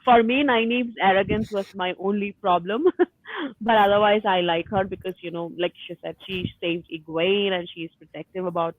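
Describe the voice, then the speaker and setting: A woman's voice: medium pitch, moderate pace, no echo. A woman talking casually and chattily as a panelist in a group book discussion. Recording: phone-like narrow-band call audio.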